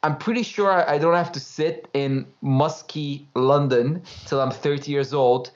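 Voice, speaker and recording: A man's voice: fairly high pitch, steady tone, a little slow. A young man from Romania, telling his story as an interview guest in a chatty, informal way over a video call. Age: young adult. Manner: chatty, laughs easily, loose and informal. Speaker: guest, course creator. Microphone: headphones, video call. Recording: slightly dull call audio.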